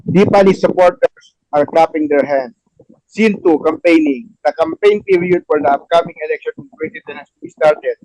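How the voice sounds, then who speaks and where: Male host and stage-direction reader, fairly high voice, dry close sound, halting phrasing. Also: darkish tone, no echo, low-quality recording. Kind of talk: hosting and narrating a play table read.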